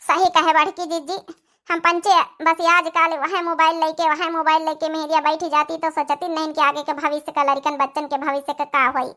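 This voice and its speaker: Repentant cartoon daughter-in-law, done by a woman: high, bright, pleading, Awadhi accent. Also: little pitch movement, normal speed. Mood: regretful. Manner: pleading, effusive. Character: repentant young village daughter-in-law, emotional, pleading wife and mother.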